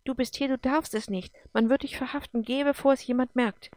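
A woman's voice: mid-range voice, fairly even intonation, a little fast, darkish tone, dry recording, lightly acted. A woman voicing a wounded, exhausted male prisoner in an audiobook, tender and protective, pleading wearily. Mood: urgent, alarmed.

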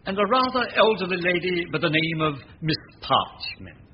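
A man's voice: sits high, very animated, moderate pace. A man playing a deferential, fussy manservant in a 1940s radio drama, formal and discreetly apologetic. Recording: dull, noisy old 1940s radio recording.

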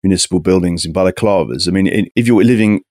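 Man, very low voice, host asking questions, dry close sound. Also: quick pace, a little mellow, no room sound. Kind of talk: interviewing guests on a call.

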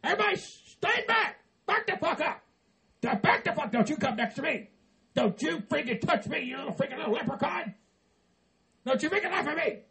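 A man's voice: extremely high pitch, animated, a little fast, vocal fry, bright, forceful tone, full character voice. A man playing a grumpy, profane middle-aged man who hates Christmas, blustering and exasperated. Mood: grudging. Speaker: comedy character.

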